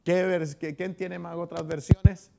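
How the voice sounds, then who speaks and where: Gravelly Chilean pastor, chatty. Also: male voice, a little high, flat delivery, unhurried, dark tone, a little hiss or hum. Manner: chatty, asks the congregation questions, frequent restarts. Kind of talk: conversational Bible study teaching. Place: church hall.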